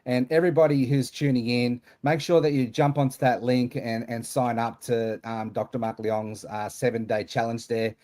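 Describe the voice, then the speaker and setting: A man's voice: mid-range voice, steady tone, moderate pace, few pauses, a little mellow. A man hosting an online summit over a video call, rambling through his words with lots of fillers. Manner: rambling, many fillers and restarts. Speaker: summit host.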